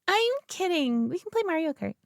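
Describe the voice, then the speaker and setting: A young woman playing a sweet, nervous girlfriend who talks softly to the listener, giggling and stammering often. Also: very high-pitched, gravelly, no echo, studio quality, full character voice. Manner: giggly, stammering, soft-spoken. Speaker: audio roleplay performer. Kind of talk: girlfriend roleplay addressing the listener.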